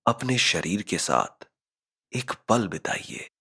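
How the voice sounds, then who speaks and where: A man narrating a scripted guided meditation, giving measured instructions with frequent pauses. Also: low-pitched, very animated, creaky, pushed and bright, husky.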